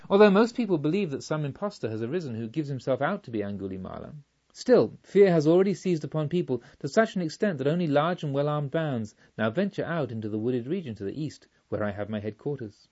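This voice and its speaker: Audiobook robber chief, read by a man: quiet menace, remorse, formal measured delivery, slightly muffled sound. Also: fairly high pitch, lively intonation. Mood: calm, resolute. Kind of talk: audiobook storytelling.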